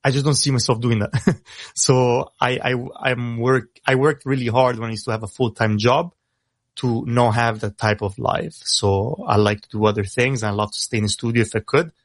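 A man with an Italian accent, speaking English as a second language, who talks fast as a rapper guest on a radio show and often repeats words as he starts a thought. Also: mid-range voice, fairly even intonation, dry recording, clean studio sound. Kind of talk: casual radio interview.

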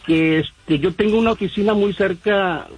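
A man's voice: high voice, moderate pace, a little hiss or hum. A man from Mexico, calling in to a radio show and talking in a rambling way with many fillers. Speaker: caller. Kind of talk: phone-in radio call. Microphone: phone line.

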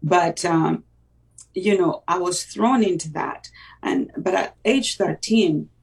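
A woman's voice: on the low side, slow, vocal fry, recorded from a distance, poor audio. A woman from Uganda, a native Luganda speaker, telling her life story reflectively with many "you know" fillers. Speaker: guest sharing testimony. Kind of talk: personal testimony in conversation.

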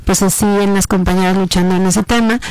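A woman's voice: mid-range voice, fairly even intonation, brisk, darkish tone, clear, resonant tone, no room sound, rough recording. A Mexican woman in her fifties, a lawyer and public servant, talking earnestly in a radio interview with many fillers and restarts. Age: 50s. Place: radio booth.

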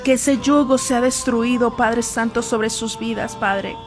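Woman, higher-pitched voice, fervent prayer, earnest pleading, insistent repetition, devotional preaching, background music. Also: steady tone, measured pace. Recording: music playing behind the voice.

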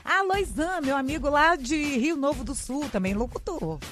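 A woman's voice: high voice, lively intonation, moderate pace. A woman hosting a morning radio show, greeting listeners affectionately and sending kisses to callers. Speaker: radio host. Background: background music.

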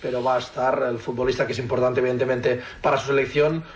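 A male sports reporter from delivers a live update. His voice sits at an average pitch, and he speaks conversationally, without a script. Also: little pitch movement, fast talker, a little hiss or hum. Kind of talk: unscripted live news update.

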